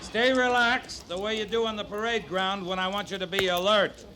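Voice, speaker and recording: Guy playing calm cavalry captain in an old radio western, dry and commanding, lots of recording noise. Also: sits high, normal speed, natural voice. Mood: firm, commanding. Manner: clipped, commanding, dryly understated. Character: steady, authoritative frontier cavalry captain, calm under threat. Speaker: actor. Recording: noisy vintage radio drama recording.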